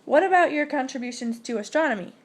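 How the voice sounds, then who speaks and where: High-voiced teen girl interviewer. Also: lively intonation, fast talker. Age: teenager. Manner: reads questions plainly. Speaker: interviewer in a school project. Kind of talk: reading prepared interview questions.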